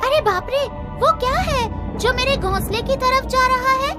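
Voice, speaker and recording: A woman's voice: very high voice, measured pace. A woman voicing a small, innocent, anxious female bird in a children's cartoon, polite and earnest. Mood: fearful. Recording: music behind.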